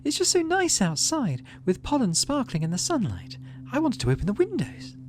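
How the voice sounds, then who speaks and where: A man voicing a sweet, shy little girl in a game, hesitant and gentle, eager to please. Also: extremely high pitch, wildly swooping pitch, normal speed, voice pushed up, exaggerated voice. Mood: sweet, apologetic.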